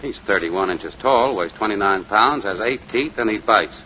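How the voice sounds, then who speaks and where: Casting: man playing proud young dad, hearty and very lively, mid-pitched, on a muffled old radio drama. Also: moderate pace, lightly acted. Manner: hearty, chatty, boastful about his baby. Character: genial, unsuspecting husband and proud young father. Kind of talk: 1940s radio drama acting. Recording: muffled, noisy 1940s radio broadcast.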